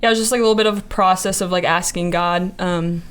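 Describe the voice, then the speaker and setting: Young woman from montana telling her story, smooth clear voice, lots of ums, earnest. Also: fairly low pitch, fairly even intonation, quick pace. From Montana, USA. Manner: hesitant, many fillers, earnest. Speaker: ministry intern. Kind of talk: casual testimony chat.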